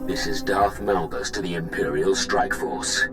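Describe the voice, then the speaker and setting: An actor playing a grave, commanding Sith warlord in a video game, with a solemn, measured, imperious delivery. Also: male voice, deep voice, over loud music. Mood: grave authority. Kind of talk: dramatic video game acting.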